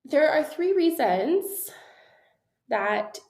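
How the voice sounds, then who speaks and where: Podcast host, woman, thirties, friendly chatty delivery with ums, bit nasal from a cold, higher voice, echoey room. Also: big pitch swings, drawn-out words, gravelly, a little mellow. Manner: chatty, upbeat, frequent ums and little laughs. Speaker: podcast host and business coach. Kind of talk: casual solo podcast talk. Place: her new home office, echoey room.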